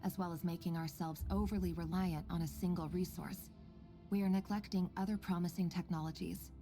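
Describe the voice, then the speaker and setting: A woman playing an earnest, composed researcher in a video game, speaking in a measured, articulate and quietly caring way. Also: fairly low pitch, steady tone, faint music behind, lightly acted. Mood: composed, formal. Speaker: voice actor in a game story quest. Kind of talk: scripted game dialogue and log narration.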